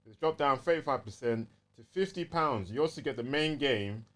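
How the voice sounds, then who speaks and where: A man's voice: medium pitch, lively intonation, moderate pace, fairly bright tone. A man with a London accent chatting casually and easily as a gaming podcast co-host, using plenty of slang.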